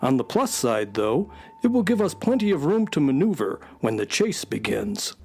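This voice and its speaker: An actor playing a gentle, droll space horse sidekick in a children's radio drama, with a dry, mildly formal manner. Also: male voice, mid-range voice, very animated, moderate pace, creaky, breathy edge, exaggerated voice. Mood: wry, thoughtful.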